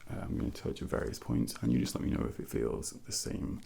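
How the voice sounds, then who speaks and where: Soft breathy guy doing asmr doctor roleplay, really crackly vocal fry, gentle n reassuring, almost whispering, bit of background noise. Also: mid-range voice, very animated. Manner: gentle, reassuring, unhurried instructions. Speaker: ASMR performer role-playing a doctor. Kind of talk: soft-spoken ASMR medical exam role-play.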